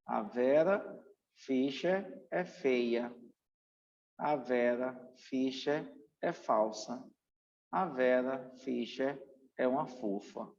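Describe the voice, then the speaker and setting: A man's voice: medium pitch, drawn-out words, gravelly, pauses often, darkish tone, slight background noise. A Brazilian man giving an exam-prep lecture as a teacher, in a didactic, emphatic way that repeats key points. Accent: Brazilian Portuguese. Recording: dull, muffled, long room reverb.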